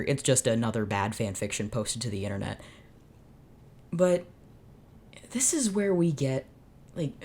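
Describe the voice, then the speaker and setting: A woman's voice: rumbling low, a little mellow. A woman hosting her channel, reading fanfiction aloud unscripted and reacting as she goes, in a sardonic, dramatic way.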